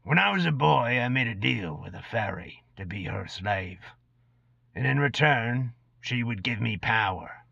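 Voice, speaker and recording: A man's voice: mid-range voice, expressive, unhurried, vocal fry, husky, heavily acted. A man voicing an ancient, gaunt, cursed goblin, a brooding and unrepentant former killer, in a dramatic reading of a fantasy tale. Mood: grim, boastful. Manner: brooding storyteller recounting dark deeds. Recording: dull lo-fi recording in echoey room.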